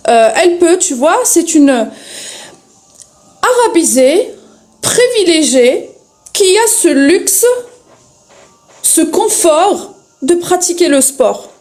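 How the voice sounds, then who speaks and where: High-voiced woman, sarcastic and sharp. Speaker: live stream host. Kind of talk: casual live stream debate.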